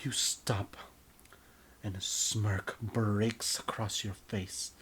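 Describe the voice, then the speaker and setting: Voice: low-pitched, flat delivery, very slow, a bit bright, in a whisper. A narrator reading their own comic story in a mock-serious, theatrical, scripted style.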